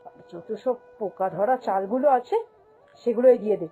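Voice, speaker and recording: A woman's voice: medium pitch, moderate pace, narrow, tinny audio, faint music behind, close to an everyday voice. A woman voicing a greedy, domineering, haughty female shop boss in a cartoon, scolding and dismissive. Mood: scheming, bossy. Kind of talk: cartoon fairy-tale voice acting.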